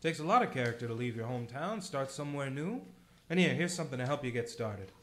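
A man's voice: medium pitch, animated, fast talker, fairly bright tone, close to an everyday voice. An actor playing a kindly, harried small-town loan manager in his thirties, earnest and stammering when flustered. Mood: coaxing. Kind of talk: community radio play acting.

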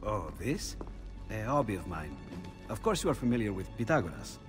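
Middle-aged Renaissance inventor, animated intonation, self-deprecating and polite, video game drama. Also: male voice, on the low side, normal speed, music in the background. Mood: musing. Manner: courteous, expressive, self-deprecating. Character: eccentric aging Renaissance inventor and painter, excitable scholar.

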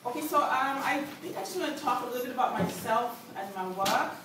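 A woman, giving a hesitant, soft-spoken artist's talk at a lectern in a gallery, with many ums and uhs. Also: high-pitched, animated, fairly quick, noisy background. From Jamaica. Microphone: podium mic recorded by a far camera. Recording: echoey gallery from far off.

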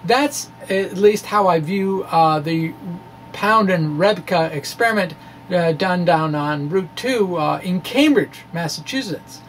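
A man in middle age explains things to camera as a science presenter. He speaks deliberately and haltingly, drawing out certain words. There is noticeable background noise in the room. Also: sits high, fairly bright tone. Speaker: physics explainer, video blogger. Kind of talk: explanatory monologue, part read, part ad-libbed. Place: indoor room with bookshelves. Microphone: microphone on stand in front of him.

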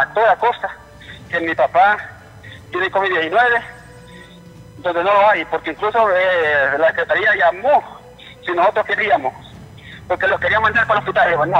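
A man with a Honduran accent calling a live news programme by phone, speaking in an indignant, halting way and repeating himself. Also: high-pitched. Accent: Honduran Spanish. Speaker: phone-in caller, relative of a patient. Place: telephone call to a TV studio. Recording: muffled, reverberant phone line.

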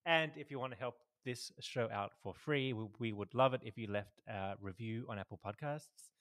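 A man's voice: mid-range voice, normal speed, a bit bright. A man co-hosting a podcast in casual chat, agreeable and fond of repeated short affirmations, on a podcast microphone. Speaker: podcast co-host. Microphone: podcast microphone, video call.

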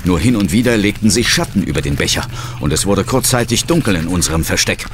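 A man's voice: low-pitched, animated, quick pace, a little hiss or hum. An actor playing an intense ghost hunter who narrates his own peril in a horror audio drama. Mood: tense. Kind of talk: scripted first-person horror narration. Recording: dramatic music underneath.

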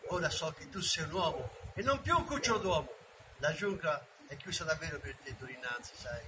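A man voicing a calm, protective male panther in a read-aloud story, speaking in an earnest, soothing way. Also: fairly high pitch, brisk, natural voice. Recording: distant mic with noisy background.

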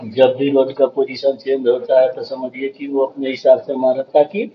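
A man's voice: mid-range voice, level tone, normal speed, muffled recording, a little hiss or hum. A man hosting a welding channel, explaining a pipe weld step by step and often calling viewers "friends". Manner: repeats "dekhiye" and "doston" often, explanatory. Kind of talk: explaining welding over filmed work.

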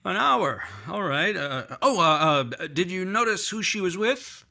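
A man's voice: high voice, expressive, a little slow, vocal fry, sharp tone, exaggerated voice. An actor playing a guarded man who has killed, speaking tensely and defensively in a radio-drama recreation. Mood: nervous. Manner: clipped, defensive, hesitant on the phone. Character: tense, guarded male murderer on the run.

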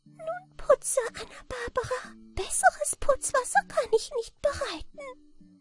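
A woman voicing a tiny old little man from a fairy tale, with a thin, squeaky, plaintive voice. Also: animated, slow pace, soft background music, exaggerated voice. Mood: weary.

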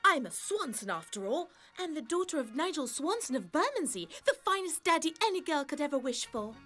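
A woman's voice: sits high, very animated, brisk, bright, forceful tone, soft background music, exaggerated voice. A woman voicing a prim, self-assured schoolgirl genius in a cartoon, precise and bookish. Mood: earnest. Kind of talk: cartoon acting.

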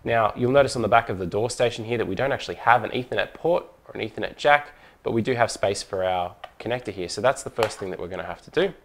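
A man's voice: lowish voice, fast talker. A man presenting a hands-on product tutorial in an informal, methodical, step-by-step way.

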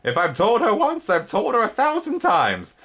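Shrill screeching glass insect. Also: male voice, huge pitch swings, moderate pace, fairly bright tone, full character voice. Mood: indignant. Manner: squabbling, indignant. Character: shrill fussy giant glass insect gardeners.

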